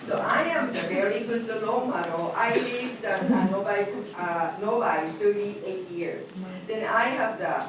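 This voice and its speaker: A middle-aged woman, speaking at a public meeting as a community liaison, halting and full of fillers. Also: medium pitch, over a noisy crowd. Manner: halting, many fillers, loose grammar. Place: large echoey meeting room. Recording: echoey room from a distance.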